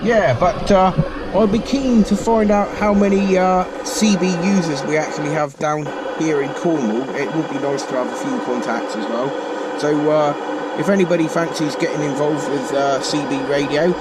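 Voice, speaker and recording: A man with a slightly high-pitched voice talks casually to viewers as he demonstrates gear. His delivery is informal and halting, wandering as he goes. He is recorded inside a car with very loud hiss and noise behind him. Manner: hesitant, rambling, informal. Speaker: vlogger demonstrating a CB radio. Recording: noisy car interior, radio hiss. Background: loud radio hiss and static.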